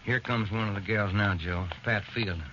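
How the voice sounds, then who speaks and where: An actor playing a plain-spoken, folksy police detective in a 1940s radio drama. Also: male voice, lowish voice, fairly even intonation, normal speed, lightly acted. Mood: wary, easygoing. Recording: dull, noisy old radio recording.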